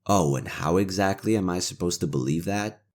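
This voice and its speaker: A man playing a cynical, world-weary imperial knight interrogator with a conscience, in a roleplay audio drama, gravelly, sardonic and given to swearing. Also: low voice, moderate pace, natural voice. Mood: guarded, conflicted.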